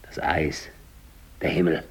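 An actor playing a dying, despairing English polar explorer alone, brooding and halting, in a 1950s German radio drama monologue. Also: male voice, in a whisper, natural voice. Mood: hushed, resigned. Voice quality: gravelly, husky. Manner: halting, brooding, repeats words, hushed to declamatory.

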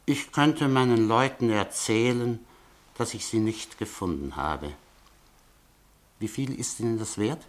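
A man's voice: medium pitch, unhurried, close to an everyday voice. An actor playing a sly, mocking male informant who blackmails with oily politeness in a radio play. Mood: threatening. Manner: oily, mocking politeness, tells threats as a fairy tale.

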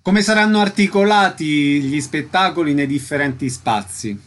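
Radio interviewer, male, slightly high-pitched, presenting guests and putting brief questions. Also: moderate pace, a bit bright, a little hiss or hum.

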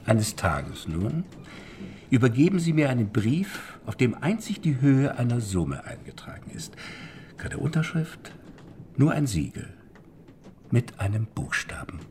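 An actor playing a shrewd, methodical former banker turned forger in a historical German radio drama, explaining deliberately with pointed pauses. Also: male voice, medium pitch, very animated, darkish tone, a little hiss or hum. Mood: secretive.

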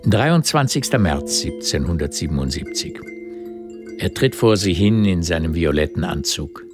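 A man narrating a radio drama in a measured, terse literary style. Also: low voice, lively intonation, music in the background. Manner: measured, terse present-tense storytelling.

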